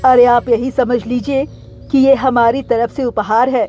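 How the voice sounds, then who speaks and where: A woman's voice: on the high side, fairly even intonation, measured pace, dark tone, soft background music, lightly acted. A woman voicing a courteous, earnest visiting bird, a weary traveller in a cartoon story, on a dull lo-fi recording. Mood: grateful.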